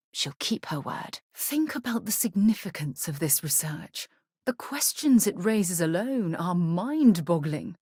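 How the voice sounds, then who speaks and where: Game role: composed female explorer-leader, earnest and well-spoken, low register, very dry studio sound. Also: darkish tone, dry recording. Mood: awed, curious. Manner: articulate, earnest, formal turns of phrase. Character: composed, principled woman space explorer and leader. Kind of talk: scripted video game dialogue, naturalistic voice acting.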